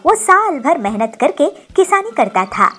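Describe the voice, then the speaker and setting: A woman's voice: sits high, sing-song, moderate pace, a bit bright, faint music behind. A woman narrating a scripted children's story.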